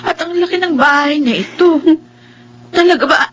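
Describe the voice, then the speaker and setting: A woman's voice: extremely high pitch, normal speed, a bit bright, a little hiss or hum. A woman acting an anxious, easily moved mother in a Filipino radio drama. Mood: delighted, hopeful.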